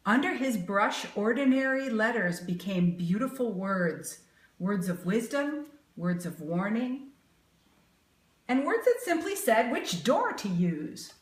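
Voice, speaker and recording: A high-voiced woman reads a picture book aloud to children at an unhurried pace. Her delivery is expressive and highly animated, with big emphasis and questions to the young listeners. The sound is roomy.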